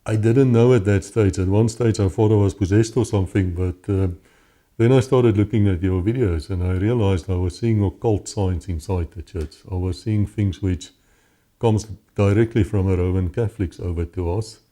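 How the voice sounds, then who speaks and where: A man's voice: lowish voice, moderate pace, mellow. A middle-aged South African man with an Afrikaans accent, telling his personal story conversationally as an interview guest.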